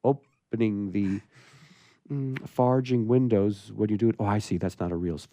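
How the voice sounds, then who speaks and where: A man's voice: lowish voice, steady tone, normal speed, warm tone, dry recording, studio quality. A man hosting a radio show and reading a humorous story aloud, with playful, amused asides.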